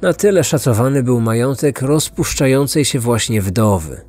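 A man narrating a scripted true-crime story as a podcast host, with dramatic, measured phrasing. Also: mid-range voice, animated, darkish tone. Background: music.

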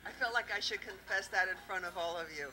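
Bright-voiced Southern woman quizzing a crowd. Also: mid-range voice, fast, a little hiss or hum, rough recording. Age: middle-aged. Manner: lively, quizzing the audience with questions. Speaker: tax accountant presenting at a panel. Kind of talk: interactive talk to a live audience. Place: event room, indoors. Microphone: handheld microphone.

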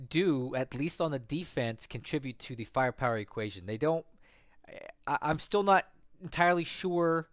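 A man's voice: medium pitch, dry recording, studio quality. A man with a slightly stuffy voice from a cold, hosting a casual screen-share tutorial and explaining as he goes, often checking in with "right?" and "okay?". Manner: conversational, repeats "right?" and "okay?", thinks aloud. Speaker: strategy game tutorial host.

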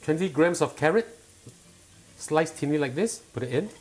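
A middle-aged man talks a viewer through a recipe in his home kitchen, calm and patient. His voice sits a little high for a man. He sounds close and clean on a small clip-on mic. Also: moderate pace, a little hiss or hum. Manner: calm, patient and instructive, enthusiastic when tasting. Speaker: cooking show host. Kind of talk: step-by-step cooking instruction.

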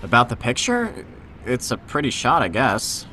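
A man's voice: mid-range voice, huge pitch swings, normal speed, noisy background. A young man playing a wry everyday guy. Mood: dry. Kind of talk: audio drama acting.

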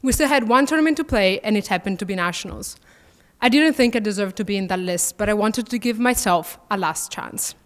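A young woman in her twenties with an Italian accent, a student athlete giving a prepared speech at a podium, warm and gently self-deprecating. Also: medium pitch, a little fast. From Italy. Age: 20s. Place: large banquet hall, at a podium. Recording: reverberant banquet hall.

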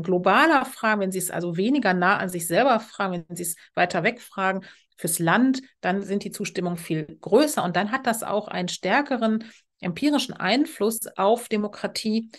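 A middle-aged woman with a mid-pitched voice speaks as an expert on an online panel. She explains in long, nested sentences, restarting and correcting herself as she goes. She is on a video call from an indoor room.

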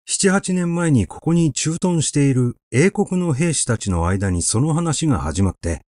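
A man narrating a literary short story in a measured, expressive storytelling manner. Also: on the high side, no room sound, clean studio sound. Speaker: audiobook narrator.

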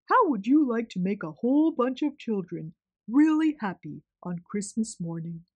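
A woman voicing a kindly, jolly old man in a children's storybook, with a lowered, full voice and hearty manner. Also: big pitch swings, no echo, muffled recording. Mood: inviting. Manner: unhurried, hearty.

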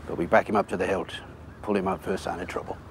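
An actor playing a terse, decisive senior police detective who speaks with authority in a naturalistic crime drama. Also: male voice, low voice, level tone, fast, noisy background, natural voice. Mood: commanding.

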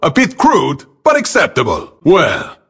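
A disdainful, elegant robot warrior from a video game. His formal delivery is haughty and clipped, set fairly high with a bright bite and some rasp. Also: wildly swooping pitch, a little slow, full character voice. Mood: haughty, cool. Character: refined, disdainful male robot warrior, coolly precise. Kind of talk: video game combat barks.

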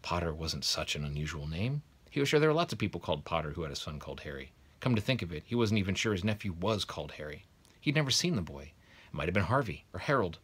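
A man's voice: lowish voice, husky. A man in his thirties reading a children's story aloud as a narrator. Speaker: guest reader of a children's novel. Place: small bedroom, indoors, sitting in bed.

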